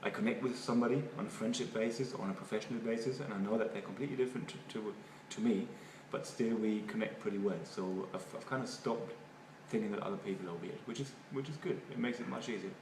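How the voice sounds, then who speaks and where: A man from Germany with a German accent, a native German speaker, giving halting, thoughtful interview answers and often repeating words. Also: on the low side. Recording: echoey room, far from mic, noisy.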